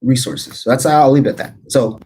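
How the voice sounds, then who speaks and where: A man's voice: medium pitch, animated, fairly quick, mellow, a little hiss or hum. A man in his forties, born in the Philippines, hosting a casual livestream show in a rambling, chatty way with lots of fillers and restarts. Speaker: host.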